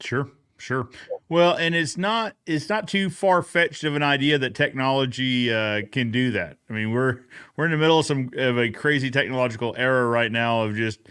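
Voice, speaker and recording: A man hosts a relaxed recap show in an everyday mid-pitched voice. He banters in a folksy, joking way and likes to repeat a phrase for effect. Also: moderate pace, smooth.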